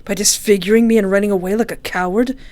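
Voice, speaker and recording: A woman voicing a menacing, obsessed, disfigured ex-boyfriend with a hushed, breathy delivery, slow and scolding. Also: medium pitch, fairly even intonation, lightly acted. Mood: cold, accusing.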